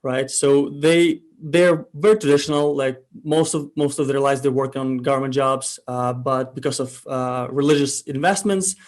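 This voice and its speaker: A young man talks through his story in a relaxed, casual way, pausing often to think. His voice sits at a middle pitch for a man. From Ukraine. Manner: relaxed, thoughtful, frequent "uh" pauses. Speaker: guest entrepreneur.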